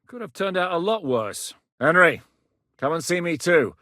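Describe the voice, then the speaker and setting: A blunt, battle-hardened mercenary commander for a video game, middle-aged and coarse-spoken, with a voice sitting a little higher than most men's. He talks bluntly with a dry wit. The recording is close and dry, with no room sound. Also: very animated, normal speed, sharp tone, no echo. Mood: formal, grateful. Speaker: military commander. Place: studio booth. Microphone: close studio mic.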